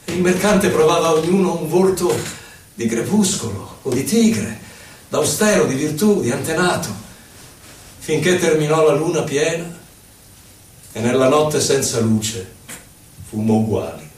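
A man gives a solemn, theatrical poetry recital, declaiming in short phrases with pauses between them. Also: a little high, lively intonation. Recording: echoey, distant, noisy live radio broadcast.

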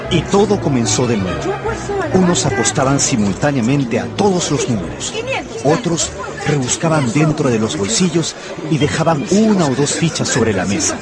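A man's voice: mid-range voice, sing-song, normal speed, close to an everyday voice. An actor playing an anxious, tempted gambler who narrates his own story in a radio drama. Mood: absorbed, observant. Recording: loud music and crowd behind the voice.

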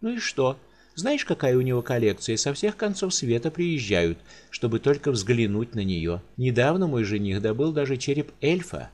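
A man voicing a spoiled, callous young noblewoman in an audiobook, speaking high, shrill and haughty. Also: very animated, moderate pace, darkish tone, lightly acted. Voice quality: shrill and indignant.

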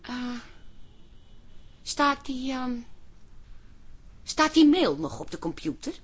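An actress in a radio play playing a nosy, worrying wife who coaxes and insists. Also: female voice, sits high, very animated, slow pace, breathy edge, exaggerated voice. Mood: pressing, impatient. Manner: coaxing, insistent. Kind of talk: Dutch radio play acting.

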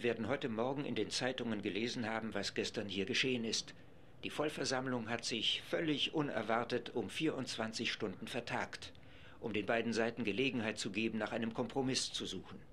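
A man's voice: mid-range voice, fairly bright tone, a little hiss or hum. A man speaking as a live radio reporter, describing events in an assembly hall from the press gallery. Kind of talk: live radio commentary, scripted.

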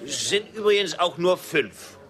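An actor playing a grumbling railroad labourer in a Wild West radio drama, with a gruff, familiar manner. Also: male voice, high voice, animated, measured pace, fairly bright tone. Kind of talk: German radio drama acting.

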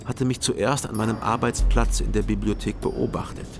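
An actor narrating as a private detective in a calm, measured, wry first-person radio crime style. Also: male voice, lowish voice, background noise, natural voice. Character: sober-minded male private detective narrator.

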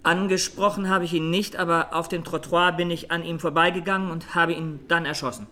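A young student on trial in a radio drama, with a highish, clearly forward voice. He answers formally and deliberately, an earnest, traumatised defendant. Background noise and birdsong sit behind his testimony. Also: fairly even intonation, sharp tone. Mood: grave, matter-of-fact.

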